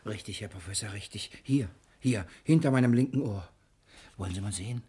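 Husky, courteous, halting prisoner. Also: male voice, lowish voice, dark tone. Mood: dreamy, hesitant. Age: 30s. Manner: halting, courteous, groping for words. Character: polite man in his thirties feigning amnesia, prisoner Mister X. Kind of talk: 1960s German radio play acting. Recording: vintage 1960 radio drama studio recording.

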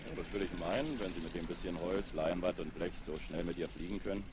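A folksy airfield hand with a deep voice. He is chatty, curious and quick to exclaim in amazement, in a radio play with clear record noise. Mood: curious, impressed. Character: chatty, frank airfield mechanic, friendly and easily amazed. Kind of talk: German children's radio play acting.

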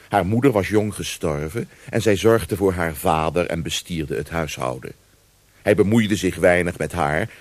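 A male narrator tells a scripted radio story. His voice is deep, with lively rises and falls in pitch. The delivery is expressive, and the sound is dry and close. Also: dry recording.

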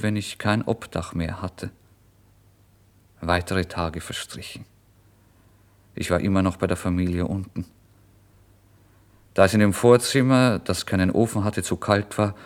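A man giving a dramatic first-person literary narration in a theatrical manner that moves between hushed and excited. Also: low voice. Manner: theatrical, swinging from hushed murmurs to excited outbursts.

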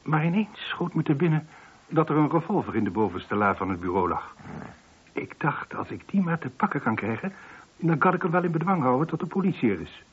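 An actor playing a wealthy older householder, formal, clipped and composed, in a radio play. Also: male voice, on the high side, sing-song, brisk, slightly rough. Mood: tense recounting. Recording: dull, lo-fi old radio recording.

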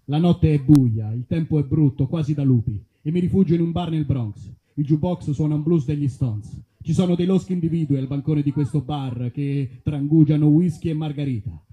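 A man's voice: fairly high pitch, warm tone. A man performing theatrical comic storytelling like a mock detective, in a mock-serious way at a live show. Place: live performance at an outdoor festival.

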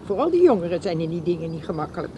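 A woman's voice: lowish voice, sing-song, racing, noisy background. An actress playing a lonely, pious older woman in a radio play, pleading and insistent. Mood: coaxing.

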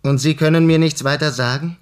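An actor playing a reserved physicist turned investigator in a German radio play, asking questions in a restrained, polite way. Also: male voice, fairly high pitch, fairly even intonation, brisk, clean tone, close to an everyday voice. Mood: earnest, probing.

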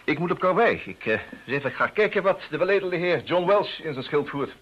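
An actor playing a self-assured, urbane private detective in a radio play, with a teasing, wry manner. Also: male voice, fairly high pitch, animated, breathy edge. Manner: urbane, teasing, hesitant little pauses. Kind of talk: Dutch radio play acting. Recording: muffled, faintly noisy old radio recording.

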